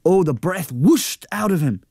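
A man's voice: high-pitched, lively intonation, a little slow, gravelly, mellow, breathy edge, no echo, clean studio sound. Earnest man reading a story. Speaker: audiobook reader. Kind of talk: first-person story narration.

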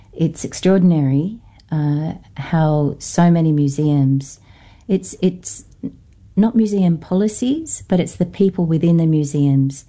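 A middle-aged woman from Norfolk Island with an Australian-influenced accent, answering interview questions earnestly with many pauses and fillers. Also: deep voice, dark tone. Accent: Norfolk Island English, Australian-influenced. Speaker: guest, descendant and researcher.

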